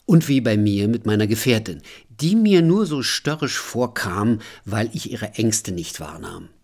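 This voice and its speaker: A man narrating a radio documentary feature in a calm, measured way. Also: on the low side.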